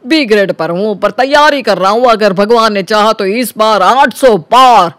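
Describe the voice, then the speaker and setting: A male actor plays a cocky young smooth-talker from an animated story. His voice sits high, and he is glib and boastful, buttering up his elders. The sound is dry and close. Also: normal speed, dry recording. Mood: flattering. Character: cocky, flattering young man sucking up to elders. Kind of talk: animated Hindi story character acting.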